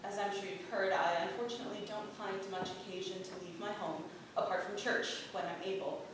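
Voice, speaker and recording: Actress as frail Puritan wife, polite and quietly shrewd, period stage play, very echoey, noisy recording. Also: female voice, mid-range voice, steady tone, brisk, natural voice. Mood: polite, candid. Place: theatre stage, picked up from far off. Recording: very roomy, distant, noisy theatre recording.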